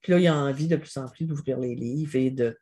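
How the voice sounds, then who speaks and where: A woman with a Québécois accent, a family business mediator explaining as an interview guest in a measured, reflective way. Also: extremely low pitch, warm tone, husky. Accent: Québécois French. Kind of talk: interview answers.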